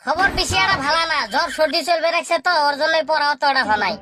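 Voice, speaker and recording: A woman's voice: high-pitched, fast talker, lightly acted. A woman voicing a lazy, cheeky schoolboy in a comedy cartoon, whining and talking back. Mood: cheeky, evasive. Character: cheeky, lazy schoolboy shirking his studies. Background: music.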